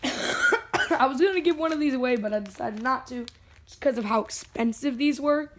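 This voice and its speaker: High, hoarse, chatty young vlogger. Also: lively intonation, moderate pace, very noisy. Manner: chatty, jokey, self-talking. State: hoarse, lost voice. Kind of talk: casual unboxing vlog.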